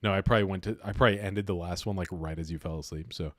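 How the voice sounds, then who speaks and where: A man's voice: low voice, rapid-fire, no room sound, clean studio sound. A man hosting a casual livestream, talking informally and thinking aloud as he goes. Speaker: livestream host. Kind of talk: casual livestream chat about investing.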